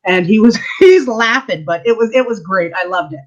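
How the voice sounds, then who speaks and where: A woman's voice: medium pitch, animated, normal speed. A woman historian answers interview questions in an earnest, explaining way, breaking into easy laughter. Her voice sits in the middle range. The recording sounds dull and lo-fi. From Canada. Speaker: historian and church archivist, interview guest.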